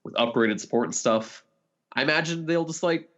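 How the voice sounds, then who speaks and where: A man's voice: fairly high pitch, normal speed, fairly bright tone. A man co-hosting a podcast, discussing video games in a casual, conversational way. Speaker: podcast co-host. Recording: slightly dull, lo-fi audio.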